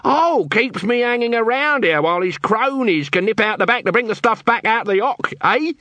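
A man reading an audiobook, voicing a scrawny, sly male watchman who bluffs and talks fast. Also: high-pitched, lively intonation, bright, forceful tone, no room sound, dull audio, pitched above the performer's own voice. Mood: brash bluffing. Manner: brash, fast-talking, bluffing.

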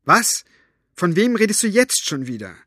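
A man voicing a gruff, sharp-tongued woman book collector who talks brusquely and mockingly. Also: fairly high pitch, expressive, normal speed, dry recording, studio quality, voice pushed up, close to an everyday voice.

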